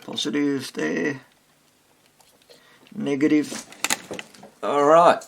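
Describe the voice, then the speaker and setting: A man giving casual, unscripted hands-on repair commentary, thinking aloud in short fragments with a husky, gravelly voice. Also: fairly high pitch, fairly even intonation, drawn-out words, slight background noise. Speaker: hobbyist radio repairer demonstrating on video.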